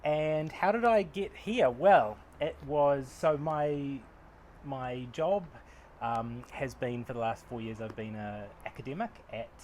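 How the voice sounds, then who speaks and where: A man's voice: medium pitch, slow pace, a little hiss or hum. New Zealand man chatting in an interview. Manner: relaxed, self-deprecating, many fillers. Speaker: acupuncturist and researcher. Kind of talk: casual interview answers. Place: outdoor veranda, daytime. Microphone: lapel mic.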